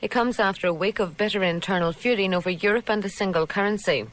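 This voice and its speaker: A woman with a Northern Irish accent reading a scripted radio news bulletin in a formal, measured way. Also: medium pitch. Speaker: radio newsreader.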